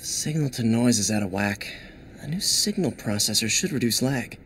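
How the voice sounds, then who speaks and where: An actor playing an eager young American superhero and lab assistant in a video game, speaking casually and earnestly. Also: male voice, medium pitch, animated, moderate pace, fairly bright tone, slight background noise, natural voice. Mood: focused. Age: young adult.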